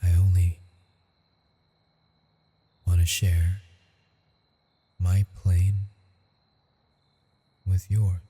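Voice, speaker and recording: A man playing a lonely, tender ghost lover in a romantic ASMR roleplay, speaking haltingly and intimately in a breathy, husky voice. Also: low-pitched, little pitch movement, mellow, exaggerated voice. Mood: intimate, devoted. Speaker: audio roleplay performer. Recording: long reverb with music behind.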